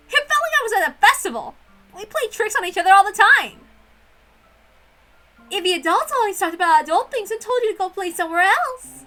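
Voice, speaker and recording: Cheeky teenage boy acted by a woman reader, bright, brash and teasing, visual novel character. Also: very high voice, pitched above the performer's own voice, full character voice.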